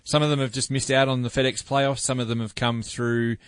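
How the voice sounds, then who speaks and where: A man with an Australian accent co-hosting a golf podcast, speaking in a measured, wry way. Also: mid-range voice, flat delivery, clean tone, no room sound. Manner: measured, wry, hedging with "you know". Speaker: podcast co-host. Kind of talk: casual sports chat.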